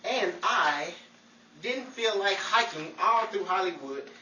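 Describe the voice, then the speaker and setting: A man playing a wry, bossy Hollywood amateur detective in a 1940s-style radio comedy mystery, theatrical and given to florid flattery and wisecracks. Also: high voice, takes it slowly, full character voice. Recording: echoey room, distant mic, noisy crowd behind.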